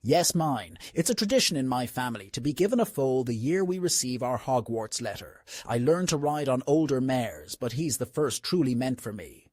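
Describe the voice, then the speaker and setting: A man's voice: medium pitch, very animated, moderate pace, hardly any pauses, a little mellow, dry recording, clean studio sound. A man playing a proud, privileged but earnest young wizard boy in a comic dub. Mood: proud, casual.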